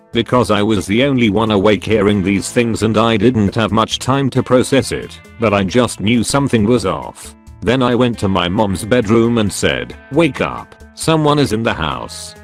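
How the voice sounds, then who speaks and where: A man with a fairly low voice narrates first-person stories in an even, flat reading manner. Soft music plays quietly under his voice throughout. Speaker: narrator reading online posts. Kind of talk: scripted narration. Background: soft background music.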